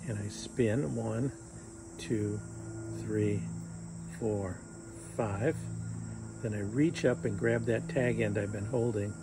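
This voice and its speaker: A man's voice: on the low side, fairly even intonation, slow pace, vocal fry, long pauses, noisy background. A man in his sixties giving a casual, joking fly fishing lesson while tying knots on a sunny patio. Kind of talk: casual how-to demonstration. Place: outdoors on a sunny patio by a fence.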